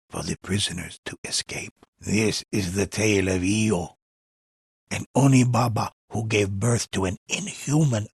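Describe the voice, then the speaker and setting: A deep, very husky old man tells grim tales as a video game storyteller. He is theatrical and ominous, lingering over his words like a forgetful elder. The sound is dry and close. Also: no echo, full character voice. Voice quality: husky, gravelly.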